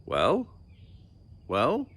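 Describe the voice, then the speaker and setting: A man's voice: mid-range voice, wildly swooping pitch, very slow, a bit bright, slightly rough, pitched above the performer's own voice, exaggerated voice. A man voicing an imperious, indignant king who barks demanding commands in a storybook reading. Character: imperious, furious king barking commands at a subject.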